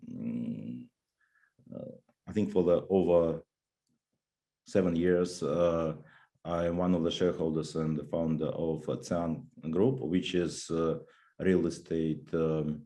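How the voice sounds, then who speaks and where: A man with a very low, dark voice introduces himself on a video panel. He speaks haltingly, pausing often and filling the gaps. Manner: halting, many fillers. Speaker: alumni panelist. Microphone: video call.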